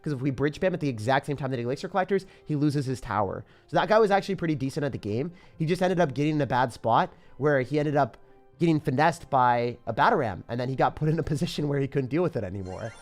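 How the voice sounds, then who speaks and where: A young man from the Boston area streaming a game live, chatting with viewers, excitable and laughing often. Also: mid-range voice, quick pace, faint music behind. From Boston area, United States. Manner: excitable, laughs often, reads chat questions aloud. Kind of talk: live-stream gameplay commentary.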